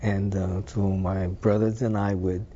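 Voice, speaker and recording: An older man from Hawaii recalls his childhood in an unhurried, reflective voice. His tone is low and mellow. The audio is dull and lo-fi, with faint noise in the background. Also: flat delivery. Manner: unhurried, thoughtful storytelling with filler words. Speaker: interview guest. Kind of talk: reminiscing interview.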